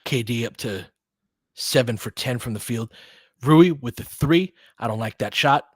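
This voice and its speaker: Male basketball commentator, mid pitch, dry close sound, casual host style with clipped play-by-play. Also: measured pace, slightly rough, no room sound. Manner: clipped, fragmentary play calls. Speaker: host doing fan play-by-play of a basketball game. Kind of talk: live sports commentary mixed with casual chat.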